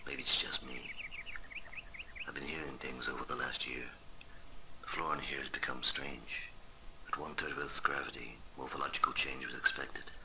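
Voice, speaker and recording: An actor playing a weary, uneasy scientist on a Mars base, brooding in a hushed, gravelly voice for a horror game audio diary. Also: male voice, flat delivery, moderate pace. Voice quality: very deep, gravelly. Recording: noisy game audio log.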